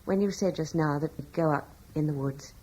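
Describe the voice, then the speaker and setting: An actress playing a gentle, devout Roman-era wife who speaks softly and earnestly in an old radio play. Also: female voice, low voice, brisk, breathy edge. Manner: soft, earnest, quietly faithful. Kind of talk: 1950s-60s radio drama acting. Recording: dull old radio broadcast.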